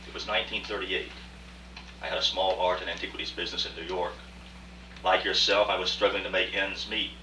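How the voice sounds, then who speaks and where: A man playing a genteel, wealthy aging art dealer in a radio play, speaking formally and deliberately, by turns reflective and defensive. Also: low voice, little pitch movement, close to an everyday voice. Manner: formal, courtly, deliberate storytelling. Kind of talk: radio play acting, rehearsed dialogue. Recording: distant, echoey room with faint noise and hum.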